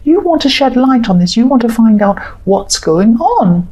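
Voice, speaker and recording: A woman with a croaky voice giving a tarot reading to viewers, talking in a reassuring, repetitive way and thinking aloud with fillers. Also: fairly high pitch, sing-song, moderate pace, warm tone, a little hiss or hum. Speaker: tarot reader.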